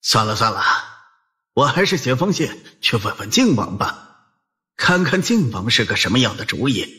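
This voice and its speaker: A man's voice: medium pitch, very animated, moderate pace, pushed and bright. A man playing an irritable, scheming older prefect in an audiobook drama, curt and exasperated. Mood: brooding, vexed.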